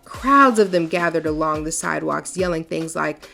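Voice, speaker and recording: A woman reading a picture book aloud for children in an expressive, folksy storyteller's way, then talking to her young viewers. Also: sits low, normal speed, vocal fry, soft background music.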